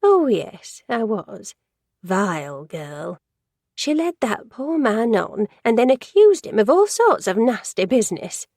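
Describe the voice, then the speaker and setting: A woman's voice: a little high, big pitch swings, slow, darkish tone, no echo, studio quality, heavily acted. A woman voicing a gossipy, judgmental older woman who works in an office, rambling and easily sidetracked in a storybook reading. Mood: indignant.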